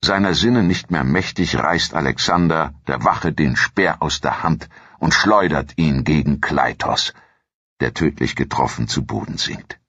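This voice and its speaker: A man reading a scripted historical documentary in a measured, dramatic storytelling manner. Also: rumbling low, fairly bright tone, husky, no room sound, highs cut off. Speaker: narrator.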